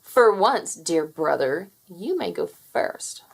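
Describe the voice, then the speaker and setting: A woman's voice: on the low side, sing-song, takes it slowly, vocal fry, breathy edge, heavily acted. A woman voicing a scheming, hot-tempered young rooster prince who talks in a sneering, blustering way. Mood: scornful.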